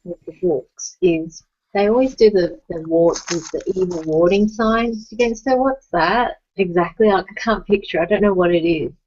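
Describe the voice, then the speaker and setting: A woman's voice: on the low side, moderate pace, a little mellow, a little hiss or hum. A woman with an Australian accent chatting casually in a curious, questioning way. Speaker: book discussion participant. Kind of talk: casual chat. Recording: dull, lo-fi internet call sound.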